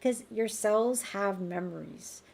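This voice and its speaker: A woman with a raspy voice hosting a live group healing call. She speaks in a gentle, reassuring and informal way. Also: mid-range voice, takes it slowly. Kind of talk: live-streamed conversational healing talk. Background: faint background music.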